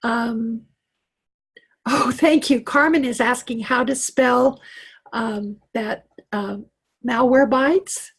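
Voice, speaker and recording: A woman's voice: fairly high pitch, slow, vocal fry, slightly rough. A middle-aged woman from Wyoming, a computer coach chatting through a live online tech class, reassuring and full of fillers and restarts. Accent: Western American with a slight Southern tinge. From Wyoming, USA. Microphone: computer mic on a video call.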